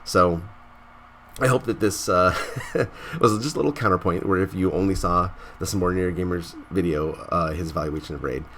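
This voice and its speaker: A man's voice: sits low, fairly quick, fairly bright tone, slight background noise. A man hosting a casual gaming vlog, stuffy from a cold, rambling with many restarts and tag questions. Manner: rambling, restarts often, says "right?". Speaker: gaming channel host.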